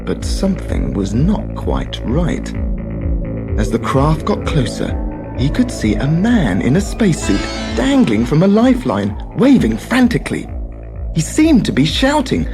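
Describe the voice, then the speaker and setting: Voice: medium pitch, wildly swooping pitch, a little slow, music in the background. A storyteller reading a children's tale as dramatic scripted narration.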